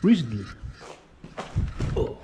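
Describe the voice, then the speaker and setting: A man in his thirties giving halting, casual off-the-cuff commentary in short muttered remarks as he explores. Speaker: urban explorer vlogger. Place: abandoned factory grounds, outdoors and in derelict rooms. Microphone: handheld action camera at arm's length. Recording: noisy handheld action camera audio.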